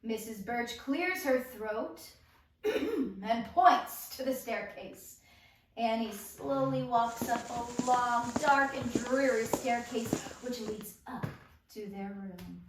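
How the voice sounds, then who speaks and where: A woman leads a script table read as narrator, announcing roles and stage directions with deliberate emphasis. Her voice is on the high side and unhurried. The recording is heavily reverberant, with the mic some way off. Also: a little hiss or hum. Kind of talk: reading stage directions and character list aloud. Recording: very echoey room, distant mic.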